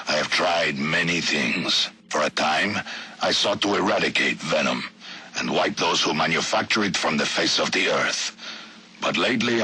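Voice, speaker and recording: An actor playing a menacing, brooding strongman villain, speaking in a solemn, deliberate, formal way with a gravelly, husky voice in a game audio log. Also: sits low, bright, forceful tone, heavily acted.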